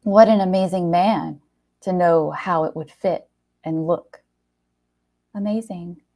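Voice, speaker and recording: A woman's voice: fairly low pitch, fairly even intonation, takes it slowly, mellow. Woman voicing a bitter, sneering jilted man. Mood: sneering sarcasm. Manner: sarcastic, accusing. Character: Gary, bitter jilted boyfriend, sneering and indignant.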